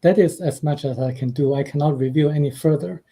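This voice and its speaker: Soft-spoken Chinese-accented man, very mellow. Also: a little high, fairly quick, husky. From China. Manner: hesitant, many uh fillers, soft-spoken. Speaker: guest author and translator. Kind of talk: radio interview.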